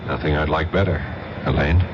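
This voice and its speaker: An actor playing an easygoing, informal American federal narcotics agent in a radio drama, with an American accent and a casual, slightly bashful manner. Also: male voice, a bass voice, fairly even intonation, brisk. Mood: friendly, bashful. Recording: dull, noisy old radio recording.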